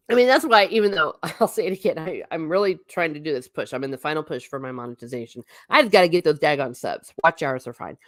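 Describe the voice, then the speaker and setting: A woman's voice: low voice, dry recording, clean studio sound. A woman hosting a casual livestream, reading viewer comments and answering them in a chatty, blunt way. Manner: chatty and blunt, with frequent asides and restarts. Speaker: livestream host.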